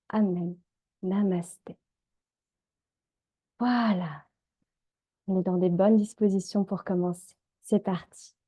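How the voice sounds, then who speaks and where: A woman, an energy healer and coach leading a calm group healing session, speaking gently with frequent hesitations. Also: medium pitch. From Switzerland. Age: 30s. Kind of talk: live group healing session and guided prayer.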